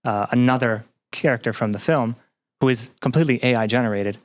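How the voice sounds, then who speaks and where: A man speaking as a podcast guest, explaining things casually with hesitant, thoughtful, halting phrasing. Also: mid-range voice. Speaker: podcast guest, game developer and researcher.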